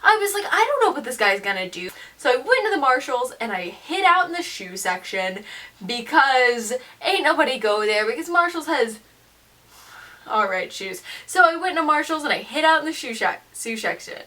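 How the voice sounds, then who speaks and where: A young woman of seventeen telling a story casually in an animated, emphatic, slangy way, as a vlogger. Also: high voice, moderate pace, far from the mic. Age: teens, seventeen.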